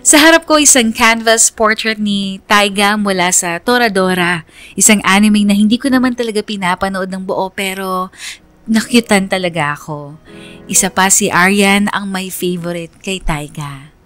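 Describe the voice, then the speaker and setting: A woman's voice: medium pitch, normal speed, fairly bright tone, soft background music, lightly acted. A woman playing a lovestruck, conflicted young woman narrating her own story in a confiding radio drama style. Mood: tender, lovestruck. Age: young adult. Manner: confiding, earnest.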